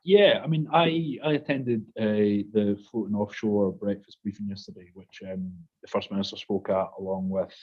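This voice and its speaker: A man with a very low, husky voice speaks on a panel in a measured way. His delivery stays flat, and the video call audio is muffled. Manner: measured, with frequent fillers and repeats. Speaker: panel guest, industry consultant. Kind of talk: casual panel talk.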